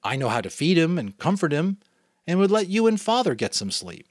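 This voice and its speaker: A man's voice: fairly high pitch, sing-song, fairly quick, dry recording, clean studio sound, pitched above the performer's own voice. A man voicing an earnest twelve-year-old boy in a storybook reading, eager and pleading.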